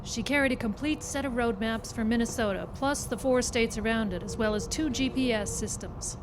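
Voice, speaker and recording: A woman's voice: a little high, moderate pace. A woman reading a scripted story aloud as dramatic narration at a live performance. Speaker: story reader. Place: performance club before live audience. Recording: noisy live club recording.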